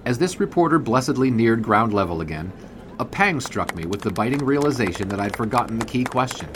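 An actor playing a wry, bemused 1920s newspaper sports writer, narrating in measured, formal and wordy prose for an audio drama. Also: male voice, mid-range voice, very animated, noisy background, lightly acted. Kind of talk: scripted first-person narration in audio drama.

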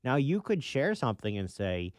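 A man co-hosting a sports talk show, explaining things in a measured, even-handed, conversational way. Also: mid-range voice, no echo.

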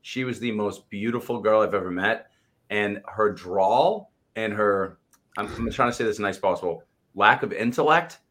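A man's voice: fairly low pitch, moderate pace, fairly bright tone. An American man co-hosting a talk show in casual chat, with a joking, self-deprecating way of talking.

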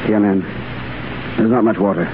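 An actor in 1930s radio drama playing a commanding Foreign Legion sergeant with a firm, controlled, clipped manner. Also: male voice, fairly low pitch, fairly even intonation, normal speed, lightly acted. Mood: weary, calm. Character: steady, commanding legionnaire sergeant, a gentleman soldier. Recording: noisy, tinny 1930s radio broadcast.

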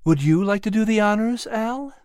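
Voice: medium pitch, moderate pace, clear, resonant tone, no room sound, clean studio sound, pitched above the performer's own voice, lightly acted. A narrator voicing a sweet, impish female lamb spirit. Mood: kind. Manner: sweet, gently teasing.

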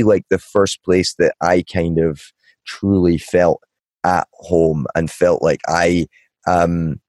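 Podcast guest, man, Scottish Highland accent, very low voice, dry close sound, rambling and self-correcting. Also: no echo. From Scotland. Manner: rambling, many restarts and fillers. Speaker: guest, nightlife advocate. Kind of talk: casual podcast interview.

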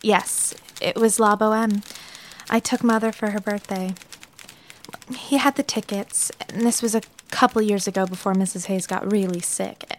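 A woman's voice: mid-range voice, fairly even intonation, moderate pace, slight background noise, lightly acted. A woman playing a genteel, kindly bride-to-be and office confidante in a period drama, chatty with hesitant asides. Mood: fond, chatty.

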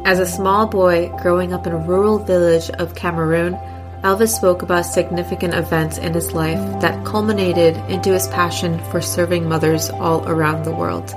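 A woman's voice: on the low side, fairly even intonation, moderate pace, music in the background. A woman hosting a podcast, opening with a scripted introduction and talking in an enthusiastic, upbeat way. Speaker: podcast host. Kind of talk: scripted intro then interview.

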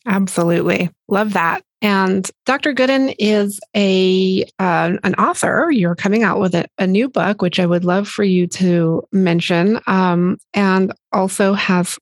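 A woman's voice: mid-range voice, unhurried, few pauses, no room sound, studio quality. A woman hosting a podcast interview, asking questions and affirming her guest with enthusiasm. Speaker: podcast host.

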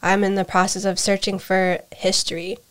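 A woman's voice: medium pitch, level tone, moderate pace. A young adult woman with an American accent, speaking candidly and introspectively in a reflective conversation, often repeating phrases as she searches for words. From United States. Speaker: interview guest, adoptee.

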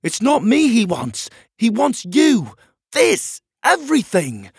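An urgent, protective young animal hero from a storybook, acted out by a man. The voice sits high, with emphatic delivery and slightly rough edges. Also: a little slow, no echo, clean studio sound, exaggerated voice. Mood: shouting, urgent.

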